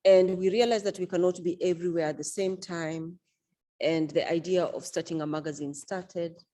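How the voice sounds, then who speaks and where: A woman speaks at a book launch with a low, mellow voice. She talks about her work in a measured, unhurried way and fills her pauses often with small hesitations. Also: fairly even intonation. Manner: measured, unhurried, with frequent fillers. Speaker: author and event organizer.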